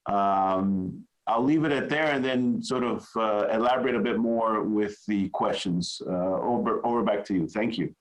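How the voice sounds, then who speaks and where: A middle-aged man from South Florida with a somewhat low voice. He introduces himself haltingly, with plenty of fillers and restarts, over a video call. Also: poor audio. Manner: hesitant, many fillers and restarts. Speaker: ambassador and panelist. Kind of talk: informal self-introduction.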